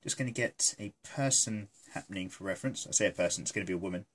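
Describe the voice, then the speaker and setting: A man's voice: sits low, fast, fairly bright tone. Congested British man, chatty tutorial host. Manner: chatty, jokey, self-deprecating, mildly sweary. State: congested with a cold. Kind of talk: casual, rambling tutorial narration. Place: indoors at a desk, hands filmed from above.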